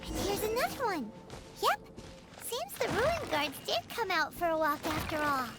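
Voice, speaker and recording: A woman's voice: extremely high pitch, very animated, moderate pace, full character voice. A woman voicing a small, curious, bubbly fairy companion in a video game, with a chirpy, childlike and emphatic delivery. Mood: surprised, intrigued. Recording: game music under the voice.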